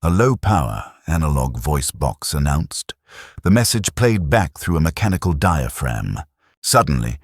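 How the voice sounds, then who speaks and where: Very deep, husky male narrator. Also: dry recording, clean studio sound. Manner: grave, dramatic storytelling. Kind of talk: scripted audiobook narration.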